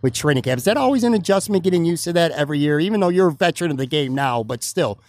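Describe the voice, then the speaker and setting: A man with a slightly high-pitched voice hosts a casual podcast chat, asking long, friendly, rambling questions. The sound is dry and close, recorded in a bar. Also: animated, very fast, darkish tone, no room sound. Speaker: podcast host. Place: bar or restaurant.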